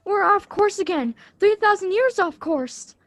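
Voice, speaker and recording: High-voiced eager schoolgirl played by a woman. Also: animated, a little slow, a bit bright, dry recording, studio quality, exaggerated voice. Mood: excited, curious. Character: schoolgirl granddaughter, eager, bright, polite time traveller. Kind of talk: live script reading of radio drama.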